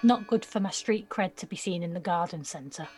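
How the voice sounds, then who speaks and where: An actress playing a blunt, cheeky, streetwise nineteen-year-old woman who talks in clipped slang with a slightly husky voice in an audio drama. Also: fairly low pitch, moderate pace, darkish tone, soft background music. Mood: teasing, guarded. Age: young adult. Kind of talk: naturalistic audio drama dialogue.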